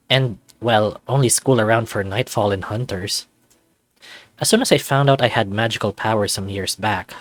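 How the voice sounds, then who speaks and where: A man's voice: fairly low pitch, no room sound, natural voice. A man voicing a sarcastic, anxious young furry student in a visual novel, narrating in a wry, self-deprecating, conversational way. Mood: wry musing. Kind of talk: visual novel character voice-over.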